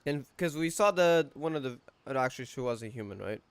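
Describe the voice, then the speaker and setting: A man's voice: mid-range voice, animated, fairly quick, clean tone, no echo. A man casually talking over gameplay, chatty and prone to blurting suspicious remarks and quips. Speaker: gaming reaction host.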